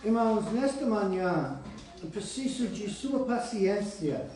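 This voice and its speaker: A man preaching a sermon in Portuguese with a foreign accent, reading scripture slowly and deliberately with small restarts. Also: high voice, lively intonation, noisy background. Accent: English-speaking foreigner speaking Portuguese. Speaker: pastor. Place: church hall. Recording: roomy church hall, voice from far off.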